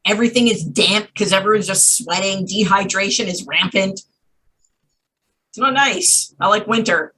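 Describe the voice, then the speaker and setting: A woman's voice: mid-range voice, normal speed, pushed and bright, a little hiss or hum. A woman chatting casually as a show guest in a blunt, sardonic way, recorded away from the mic. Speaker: weather show guest correspondent. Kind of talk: casual comedic chat.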